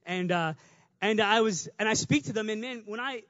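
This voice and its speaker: A male preacher with a high-pitched voice, talking quickly in an easy, conversational way. He builds in volume as his points land. The sound is dry and slightly muffled. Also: no room sound. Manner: conversational, fast, builds to shouting. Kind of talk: sermon.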